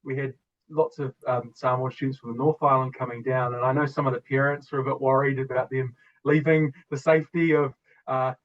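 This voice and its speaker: A young New Zealand man in his twenties, talking earnestly and thoughtfully as an interview guest, often pausing to restart a phrase. His voice sits in an ordinary middle pitch, and the recording sounds a little dull and muffled. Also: level tone. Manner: reflective, earnest, with soft laughs and restarts. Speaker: guest, former student. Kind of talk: conversational interview.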